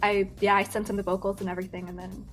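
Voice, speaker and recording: A woman's voice: medium pitch, level tone, fast talker, faint music behind. A young American woman chatting as a guest singer in an interview, talking with lots of fillers, restarts and giggles. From United States. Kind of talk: casual interview chat.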